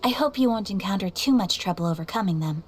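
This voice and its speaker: A woman's voice: medium pitch, animated, fast talker, slight background noise, natural voice. An actress playing a courteous, dutiful young female official in a video game, speaking politely, formally and earnestly. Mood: grateful, polite. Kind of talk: video game character acting. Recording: prominent background music.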